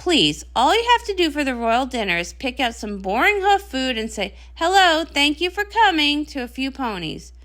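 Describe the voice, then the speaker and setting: A woman plays a young pony princess pop star in a comic read-along. The voice is high and bright, swinging widely with dramatic indignation and sarcasm. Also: big pitch swings, moderate pace, hardly any pauses. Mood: scornful.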